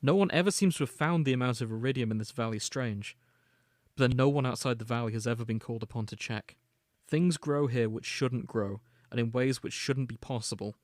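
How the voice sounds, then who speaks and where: Male podcast host, medium pitch, storytelling read of amateur fiction with informal asides, very dry sound. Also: a little fast, no room sound. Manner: storytelling, alternating read-out prose with casual asides. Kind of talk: reading and summarizing fan fiction.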